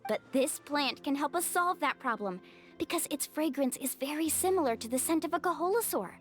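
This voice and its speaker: A woman's voice: sits high, lively intonation, a little fast, fairly bright tone. A woman voicing a cheerful young woman who guides her group and takes the lead. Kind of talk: game voice acting. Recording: game audio with faint music.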